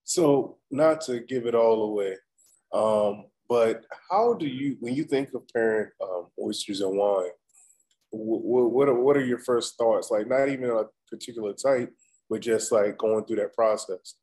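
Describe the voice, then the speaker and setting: A man's voice: mid-range voice, a little slow, mellow, poor audio. A man in a casual conversation, asking questions with hesitant restarts.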